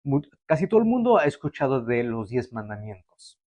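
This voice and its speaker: A man's voice: mid-range voice, very animated, a little fast, a little mellow, no echo. A young man born in Canada, preaching a sermon to a livestream audience in a conversational way, with fillers and restarts. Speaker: pastor.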